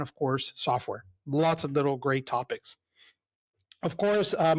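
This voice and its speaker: A middle-aged man from El Salvador, a company CEO, giving a casual, enthusiastic webinar presentation with frequent fillers and "right?" asides. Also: on the high side.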